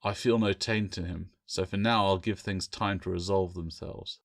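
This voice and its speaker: A man voicing a grim, wary pirate captain in a fantasy audiobook, measured, ominous and firm. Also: deep voice, steady tone. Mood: grave, wary.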